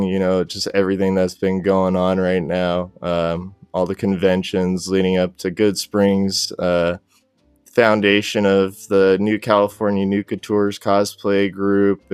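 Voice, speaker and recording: A man's voice: deep voice, smooth, no echo. A man speaking as a podcast guest in casual interview chat, with a loose, rambling delivery.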